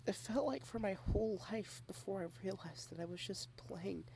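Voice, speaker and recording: A woman speaking softly in a close-mic ASMR girlfriend roleplay, shy and rambling, with halting repeated starts and frequent half-whispers. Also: mid-range voice, creaky, a little hiss or hum. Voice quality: soft, often half-whispered.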